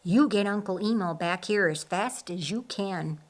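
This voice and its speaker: A woman's voice: mid-range voice, a little slow, lightly acted. Woman reading as resolute young male guard. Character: loyal, practical young man standing guard.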